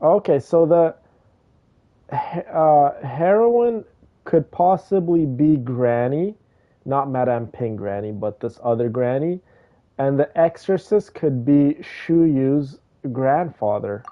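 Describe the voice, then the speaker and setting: A man streaming a game and giving casual commentary in a musing, drawn-out way. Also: medium pitch. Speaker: streamer reacting to a game story. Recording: dull microphone, faint game music behind.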